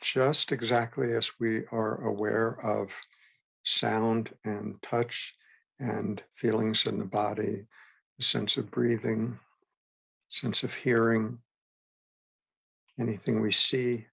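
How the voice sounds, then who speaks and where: A man guiding a meditation as a teacher, with gentle, unhurried instruction and frequent pauses. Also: on the low side, level tone, creaky, husky, rough recording. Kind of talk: guided meditation.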